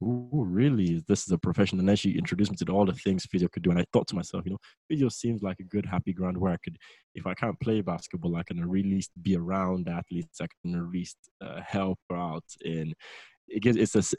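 A young man from Nigeria, a newly qualified physiotherapist, talking as a guest in a relaxed, rambling way and laughing often. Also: sits low, dry recording. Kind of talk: casual interview chat.

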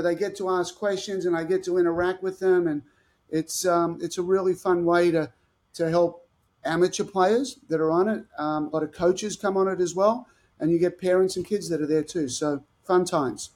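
An upbeat man with a fairly high voice talks through tennis tactics as a podcast guest. He explains eagerly and jumps between points. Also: moderate pace. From Australia. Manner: enthusiastic, animated explaining. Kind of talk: podcast interview.

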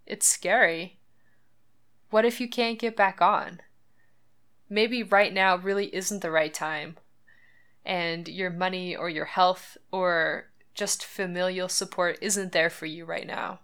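A woman with a lowish, smooth, clear voice hosts a podcast. She talks in an earnest, chatty way and laughs lightly now and then. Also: steady tone, slow, fairly bright tone. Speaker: podcast host. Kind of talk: scripted podcast monologue.

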